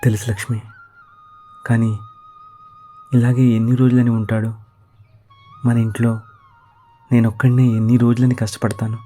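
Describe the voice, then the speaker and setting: Casting: male actor as tired older village dad, mellow and flat-toned, measured grumbler for a children's cartoon. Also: mid-range voice, faint music behind, close to an everyday voice. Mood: weary complaint. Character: weary, grumbling ageing village father and husband. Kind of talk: Telugu children's cartoon dialogue.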